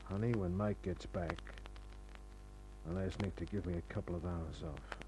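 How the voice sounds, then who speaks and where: An actor playing a tough, cool private detective in an old-time radio drama, laconic and hard-boiled, narrating and talking. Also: male voice, sits low, fairly even intonation, moderate pace, background noise, lightly acted. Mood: cool, intimate. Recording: old radio recording, music behind.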